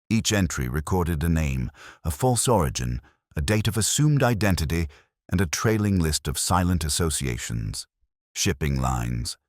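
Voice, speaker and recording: Male narrator, extremely low register, wide melodic swings, solemn and measured storytelling. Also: no echo, clean studio sound. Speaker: audiobook narrator. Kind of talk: scripted dramatic story narration.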